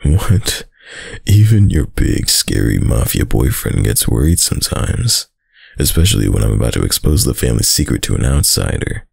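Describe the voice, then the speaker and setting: A man playing a dominant, doting young mafia heir in an intimate boyfriend roleplay, with a very deep, gravelly voice and a slow, tough-guy delivery. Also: sing-song, darkish tone, dry recording, clean studio sound, heavily acted. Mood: teasing, admiring. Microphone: close, dry microphone.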